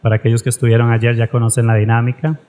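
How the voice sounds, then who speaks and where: A man's voice: mid-range voice, monotone, racing, mellow. A man moderating an event, formally introducing the speakers, hesitating often with filler sounds.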